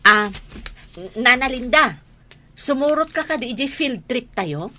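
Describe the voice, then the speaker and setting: Bright, sharp-voiced sassy young office woman played by a woman. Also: sits high, animated, measured pace, exaggerated voice. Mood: perky. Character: sassy, defensive, catty young female office worker. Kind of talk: Ilocano radio comedy drama acting.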